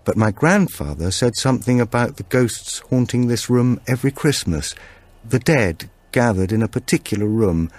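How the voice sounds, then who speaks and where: A man's voice: medium pitch, expressive, normal speed, a little mellow, no room sound, voice pushed up, close to an everyday voice. A man reading an audiobook, voicing an earnest, chatty woman neighbour who talks in a hesitant, confiding way.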